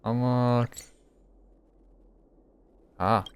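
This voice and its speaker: A man's voice: medium pitch, steady tone, very slow, clear, resonant tone. A man giving casual, off-the-cuff commentary as he plays a game, muttering and thinking aloud. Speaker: gamer playing and reacting to a story game.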